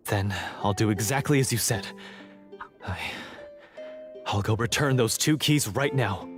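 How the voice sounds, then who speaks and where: An earnest young hunter from a game, slow in his speech and formal in his wording. He sounds humble and contrite, with music clearly behind him. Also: lowish voice. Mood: grateful, resolute. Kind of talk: video game voice acting.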